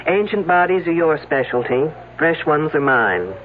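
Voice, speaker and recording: A dry, self-assured detective in a vintage radio mystery, fairly high-pitched and lively. The sound is tinny and thin, with a faint hiss behind it. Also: male voice, normal speed. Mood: dryly confident. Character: sharp-witted male detective, dry and confident. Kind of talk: 1970s radio drama acting. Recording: tinny old radio broadcast.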